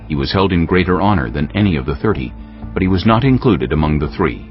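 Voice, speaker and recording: A man narrating dramatized scripture as a solemn, measured storyteller. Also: deep voice, expressive, muffled recording, soft background music.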